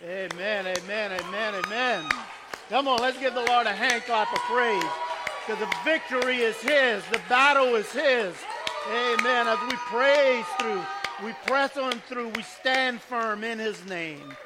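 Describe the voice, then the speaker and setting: A male pastor leading a church service, fervently urging the congregation to praise and chuckling through casual asides, his voice a little hoarse. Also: very high-pitched, a little slow, a loud crowd, heavy background noise. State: slightly hoarse from worshiping. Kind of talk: church service exhortation and announcements. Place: church sanctuary. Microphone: handheld or stage microphone.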